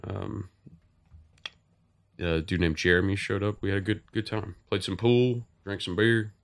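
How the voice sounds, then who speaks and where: A man with a low, deep voice hosts a podcast. He chats loosely and rambles, stopping and restarting his sentences often. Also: fairly even intonation, unhurried, gravelly. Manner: rambling, with many fillers and restarts. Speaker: podcast host. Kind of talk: casual podcast chat.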